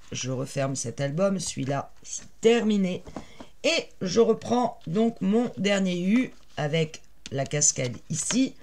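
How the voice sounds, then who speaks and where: Raspy woman with a cold, casual tutorial host talking through her crafting as she works. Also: low-pitched. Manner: chatty, many "euh" fillers, explains while working. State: has a head cold.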